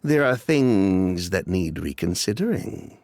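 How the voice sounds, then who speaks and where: A slow, weary low-voiced man. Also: sing-song, darkish tone, slight background noise, heavily acted.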